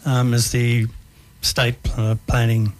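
An older man with an Australian accent recounts his working life on air. He speaks at a middling pitch, unhurried and a little hesitant, filling his pauses with ums. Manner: relaxed, hesitant with frequent ums. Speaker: guest. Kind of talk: life story interview.

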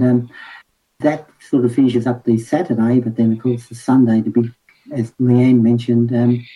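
Guest: man, deep mellow husky voice, halting delivery. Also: poor audio. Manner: hesitant, halting, many ums. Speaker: permaculture group guest. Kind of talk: radio interview.

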